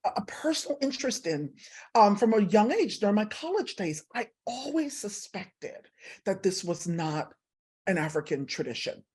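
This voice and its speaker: A woman speaking as an academic author in an interview, explaining thoughtfully and haltingly with repeats. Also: medium pitch, big pitch swings, darkish tone, husky. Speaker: professor and author guest.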